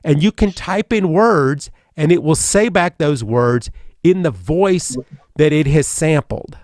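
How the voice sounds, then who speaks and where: A man's voice: fairly high pitch, expressive, slow pace, a little mellow, no room sound. Rambling male radio host, dry studio sound. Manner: rambling, many fillers and restarts. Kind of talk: casual radio chat.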